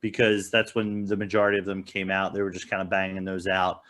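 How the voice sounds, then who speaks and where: Low-voiced man rambling through casual opinions. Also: completely flat delivery, brisk, a bit bright. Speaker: podcast panelist reviewing films.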